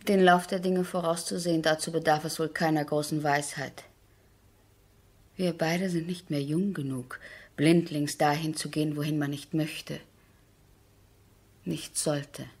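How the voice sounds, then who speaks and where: A woman acting in a 1970s German radio drama as a composed, resolute aristocratic wife, with measured, formal diction. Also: deep voice, fairly even intonation, slightly rough, exaggerated voice. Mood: firm, pained. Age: middle-aged.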